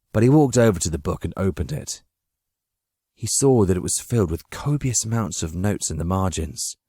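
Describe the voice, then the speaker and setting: A man narrating a dramatic audiobook from a script, with measured, literary storytelling. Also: deep voice, a little mellow, dry recording, studio quality.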